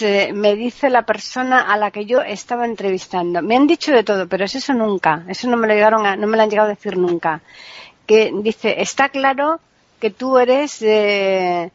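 A woman with a Basque Spanish accent, a chatty, lively storyteller co-hosting a radio show in casual talk. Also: medium pitch, normal speed, no room sound. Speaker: radio co-host and singer. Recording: dry, dull lo-fi sound.

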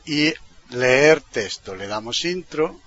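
A man from Spain talks listeners through software step by step in an informal, explanatory way. He often rounds off a phrase with a short questioning tag. The sound is dull and lo-fi. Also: medium pitch, animated, slow. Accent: Spanish from Spain. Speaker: tutorial presenter. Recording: dull, lo-fi computer microphone recording.

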